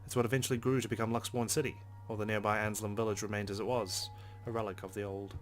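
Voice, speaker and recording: A man with a fairly low voice hosts a let's play, reading a visual novel's narration aloud. His delivery is dramatic, with brief casual asides. Game music plays behind him. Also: flat delivery, fast. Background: game background music.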